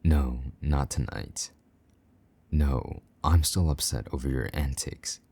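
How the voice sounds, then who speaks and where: A jealous vampire knight, played by a man murmurs slowly in a very deep, dark voice. His tone is husky and slightly gravelly, sliding into soft whispers as he teases and comforts. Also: full character voice. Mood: tender. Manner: low murmuring with soft whispers, teasing and gruff. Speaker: voice actor in audio roleplay. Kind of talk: intimate audio roleplay.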